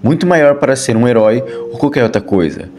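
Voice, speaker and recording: A man's voice: mid-range voice, animated, brisk, a little mellow. A man with a Brazilian accent giving casual, chatty scripted commentary as the host of an online video. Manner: informal, chatty storytelling with colloquial turns. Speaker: YouTube host and narrator. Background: background music.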